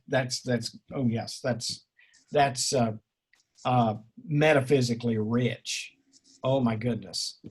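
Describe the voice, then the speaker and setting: A man leading an informal seminar discussion as the instructor, effusive and erudite, rambling and joking, with a slightly husky voice. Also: medium pitch. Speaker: instructor leading an online class session.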